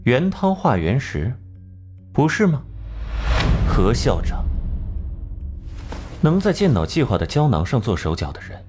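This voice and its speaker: A man playing a sharp, cocky detective in an animated drama, smugly laying out deductions with theatrical rhetorical questions. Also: mid-range voice, measured pace, long pauses, faint music behind. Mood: confident, accusing.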